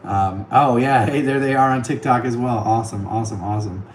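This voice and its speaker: A man's voice: mid-range voice, steady tone, brisk, echoey room, a little hiss or hum. A man hosting a casual livestream, chatty and thanking viewers by name, laughing often, his voice a bit worn. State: voice getting worn. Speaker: livestream host. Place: on the road, streaming from an iPad. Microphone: tablet mic.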